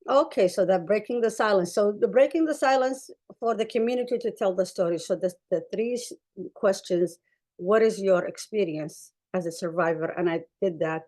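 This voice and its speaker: A woman's voice: medium pitch, normal speed, darkish tone. Earnest woman presenting. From Somalia. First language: Arabic. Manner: earnest, emphatic, repeats phrases for stress. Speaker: clinician presenting. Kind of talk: conference talk with slides.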